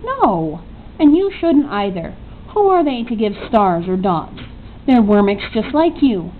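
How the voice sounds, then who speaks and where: A woman voicing a kindly, patient male woodcarver in a storybook, speaking gently and deliberately. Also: on the high side, very animated. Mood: reassuring, fond. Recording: tinny narrow-band audio, faint noise.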